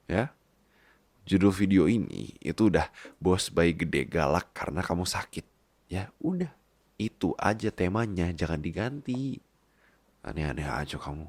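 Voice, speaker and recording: Give the doting husband of an ASMR roleplay , acted by a man, a very low voice that lingers slowly over his words. He teases and coaxes like a big babyish boss, sometimes slipping into a whisper, all recorded dry and close. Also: creaky, dry recording, exaggerated voice. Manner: coaxing, playful, drops into whispers. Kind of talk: intimate boyfriend ASMR roleplay.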